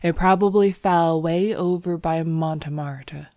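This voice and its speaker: A woman's voice: lowish voice, unhurried, darkish tone, dry recording, clean studio sound, lightly acted. A woman voicing a cheerful young male artist in an audiobook story, breezy and reassuring, playing down danger. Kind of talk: audiobook character dialogue.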